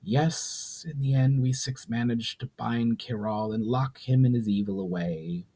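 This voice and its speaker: An ancient sorceress of a fantasy storybook, played by a man, speaks with solemn, pained weariness. The delivery is slow and grave, as if weighed down by long grief. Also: medium pitch, dark tone, breathy edge. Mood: sorrowful, solemn. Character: ancient grieving burning sorceress, solemn and weary.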